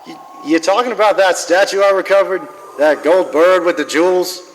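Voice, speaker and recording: An actor playing a world-weary, wisecracking private detective in a noir radio drama, tough, slangy and wry. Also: male voice, high voice, normal speed, slight background noise, close to an everyday voice. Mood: guarded. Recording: long hall reverb, music behind.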